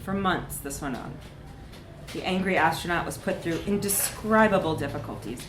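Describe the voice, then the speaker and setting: A woman reading a comic short story aloud in a live literary reading, with expressive, dramatic emphasis. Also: lowish voice, moderate pace. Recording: echoey room with background noise.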